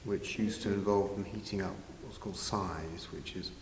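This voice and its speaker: An older man answering interview questions informally, in a measured, halting way with pauses. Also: sits low, fairly even intonation. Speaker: interviewee, village pantomime organiser. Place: large village hall, indoors. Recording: very echoey hall, faint noise.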